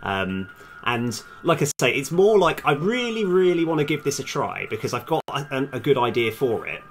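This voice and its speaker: A man's voice: mid-range voice, sing-song, moderate pace, a little hiss or hum. A man thinking aloud in a casual, hesitant, self-deprecating way while live streaming as a game developer.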